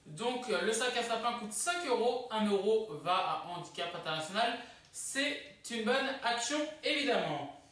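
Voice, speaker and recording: A presenter casually hosting a countdown video, chatty and halting, with self-corrections. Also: medium pitch, lively intonation, a bit bright. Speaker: host of a countdown video channel. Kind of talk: casual presenting of a ranking list to camera. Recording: echoey room, distant mic.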